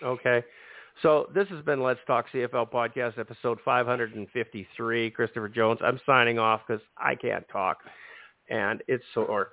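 A man hosts a casual sports podcast while he is losing his voice, so he sounds hoarse and strained. He stumbles, repeats words and restarts his sentences. The sound comes over a dry, tinny, phone-like line. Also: mid-range voice, steady tone, no echo. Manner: halting, repeats words when starting. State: losing his voice, sore throat. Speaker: podcast host.

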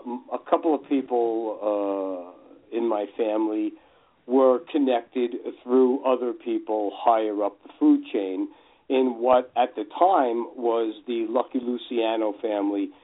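A man with a New Jersey accent speaks in a fairly low voice as a guest author recounting his story. He talks conversationally and wordily, looping back over phrases. The sound is narrow and phone-like. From northern New Jersey, US. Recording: dull lo-fi radio call.

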